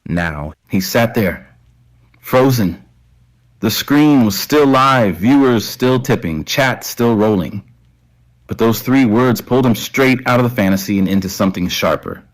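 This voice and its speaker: A man narrating scripted dramatic fiction as an audio story, in short clipped phrases with deliberate pauses. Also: fairly low pitch, animated, rough recording.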